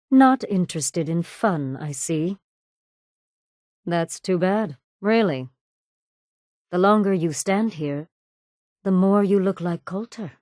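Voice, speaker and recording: Low, cool, ruthless raider woman, acted by a woman. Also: a little mellow, dry recording, studio quality, natural voice. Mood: mocking disdain. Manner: cool, sardonic, mockingly threatening. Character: ruthless, sadistic female raider gang boss, coolly menacing. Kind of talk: video game character dialogue.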